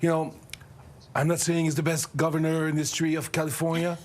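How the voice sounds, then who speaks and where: Animated male panelist. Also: on the high side, quick pace. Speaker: political panelist. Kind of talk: TV panel commentary.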